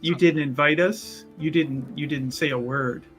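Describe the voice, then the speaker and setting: A man's voice: on the high side, steady tone, measured pace, fairly bright tone, soft background music. A man playing a tabletop game, speaking in character with teasing, stammering restarts. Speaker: player.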